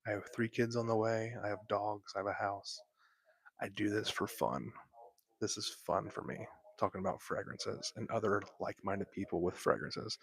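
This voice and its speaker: A young man whispering softly into a close microphone while hosting a laid-back late-night livestream from bed at home. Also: on the low side, gravelly. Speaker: livestream host.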